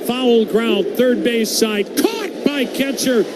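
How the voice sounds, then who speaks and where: A man's voice: very high-pitched, slow. An American man calling live baseball play-by-play on the radio in clipped phrases that lift with the action. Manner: clipped phrases, rising excitement on plays. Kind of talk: live radio sports commentary. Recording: loud steady ambient noise behind.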